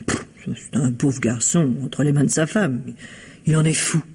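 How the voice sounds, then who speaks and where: A married shopkeeper in an old-style French radio mystery. Her voice is husky, and she speaks with measured, evasive politeness that carries quiet spite and insinuation. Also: low voice, exaggerated voice. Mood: bitter, disdainful.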